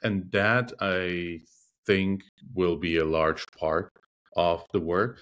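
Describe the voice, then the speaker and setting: A deep-voiced man whose first language is Russian answers interview questions. He speaks in a measured, thoughtful way and often pauses to find the right word. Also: fairly even intonation, fairly bright tone. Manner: measured, thoughtful, frequent hesitations. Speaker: interview guest, arbitration lawyer. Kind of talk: conversational interview.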